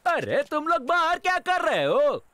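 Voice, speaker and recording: A man voicing a short-tempered, bossy male convict in a cartoon dub, with a snappy, excitable delivery. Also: very high voice, unhurried, dry recording, exaggerated voice. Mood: defiant. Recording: music behind the voice.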